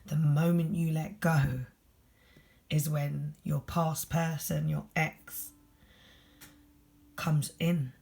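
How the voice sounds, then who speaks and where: A tired tarot reader with a husky voice and a London accent, talking fast and bluntly through a general tarot reading. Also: medium pitch.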